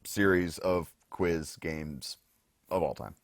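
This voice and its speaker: A man with a hoarse voice, co-hosting a podcast in casual, wordy chat, thinking aloud as he goes. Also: deep voice, slow pace, no echo, clean studio sound. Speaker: podcast co-host. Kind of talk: casual unscripted chat about game shows.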